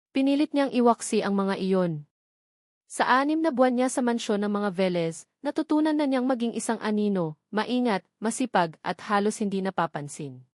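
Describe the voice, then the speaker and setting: A woman's voice: mid-range voice, a little mellow, no echo. Woman narrating a drama, dry close sound. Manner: measured, dramatic storytelling.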